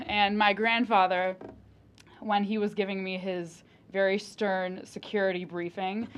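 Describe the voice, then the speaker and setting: A woman of about twenty, a native Russian speaker, giving a student presentation as lively storytelling with dry humor. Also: mid-range voice. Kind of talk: personal presentation.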